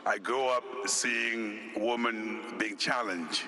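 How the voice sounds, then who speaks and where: A man from Senegal, an executive director, giving a formal conference speech in a deliberate, measured way, listing his points one by one. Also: lowish voice, steady tone, background noise. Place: conference hall.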